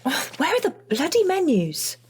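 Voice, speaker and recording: A woman's voice: high-pitched, huge pitch swings, moderate pace, a little mellow. An actress with a British accent playing a blunt, sweary young woman in a naturalistic audio drama. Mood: irritated, sarcastic. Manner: informal, exasperated, sweary. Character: Rachel, blunt, sweary young woman missing her partner.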